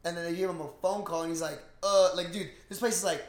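A man co-hosting a casual podcast, retelling a film in slangy, swear-heavy, animated talk. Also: sits high, fast talker. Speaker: podcast co-host. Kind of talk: casual movie recap chat. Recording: roomy, echoey sound.

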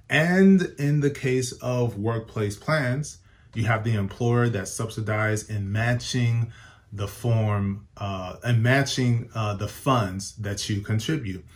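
A man hosting a personal finance show, giving casual advice in an upbeat, emphatic way and often repeating or correcting himself. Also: medium pitch. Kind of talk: casual advice talk to camera.

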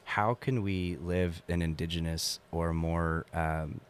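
Very low-voiced man, earnest podcast talk. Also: fairly even intonation, takes it slowly. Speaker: podcast guest. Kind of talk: casual podcast chat. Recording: clear background noise.

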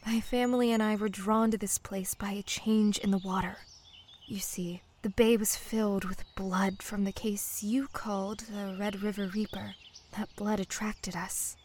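An actress playing an earnest, pleading mermaid in human form in an audio drama, speaking softly and hesitantly, often near a whisper. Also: female voice, medium pitch, level tone, unhurried, slight background noise, natural voice. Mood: pleading, tender. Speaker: voice actor.

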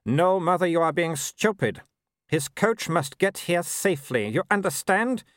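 A man voicing an arrogant, athletic young male werewolf noble who speaks with calm menace. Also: high-pitched, a little slow, no room sound, studio quality, pitched above the performer's own voice. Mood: menacing.

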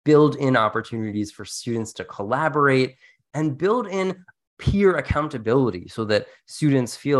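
A man speaking as a podcast co-host in an informal, conversational discussion, explaining things with casual asides. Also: medium pitch.

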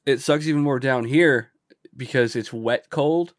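A man's voice: mid-range voice, unhurried, no echo. Congested man chatting casually, dry close sound. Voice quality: congested from a cold. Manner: slangy, swearing, says "bro" and "dude". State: getting over a cold. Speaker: podcast co-host. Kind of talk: casual chat.

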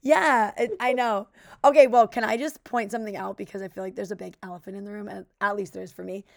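A young woman with a raspy voice, co-hosting a podcast in casual, chatty talk full of fillers. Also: medium pitch, steady tone, fast talker, a little mellow, dry recording, studio quality. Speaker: podcast co-host. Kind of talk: casual chat.

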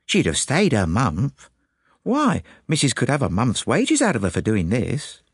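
A man voicing an excitable, gossipy young working-class English maid in an audiobook, chatty and breathless. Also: mid-range voice, unhurried, husky, no room sound, studio quality, pitched above the performer's own voice, exaggerated voice. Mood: chatty, confiding. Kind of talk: audiobook character voice.

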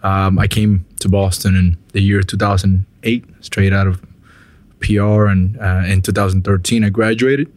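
A man from Puerto Rico in his thirties talks in a relaxed, unhurried way. His voice is fairly low. The sound is dry and close. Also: no room sound. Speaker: musician, guest.

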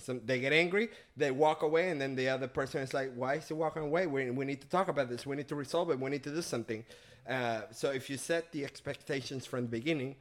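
Earnest man, reflective. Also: medium pitch. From Venezuela. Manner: earnest, reflective, halting restarts. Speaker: podcast guest. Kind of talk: casual chat.

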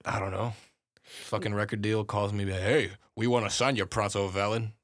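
A young man co-hosting a casual chat, loud and slangy with heavy swearing. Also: fairly low pitch, fairly even intonation, brisk, no echo, studio quality. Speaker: podcast co-host.

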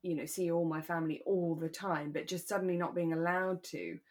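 A British woman co-hosting a podcast in a relaxed, chatty and reflective discussion of a radio drama. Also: on the low side, brisk. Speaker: podcast co-host.

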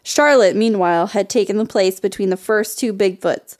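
A woman co-hosting a podcast reads a racy story aloud. She keeps breaking off to giggle and swear in quick banter. Her voice sits at an ordinary middle pitch. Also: darkish tone. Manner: giggly, profane, reads then riffs. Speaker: podcast co-host reading stories. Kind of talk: reading erotica aloud with banter.

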